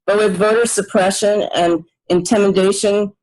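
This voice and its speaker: A woman's voice: mid-range voice, fairly even intonation, moderate pace, a little mellow, poor audio. A woman giving a formal, earnest prepared advocacy statement for a social justice group.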